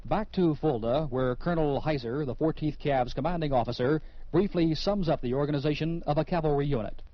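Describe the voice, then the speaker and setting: A man's voice: a little high, brisk, no room sound. A man narrating a scripted radio program as its host, on a vintage lo-fi broadcast recording with music behind him.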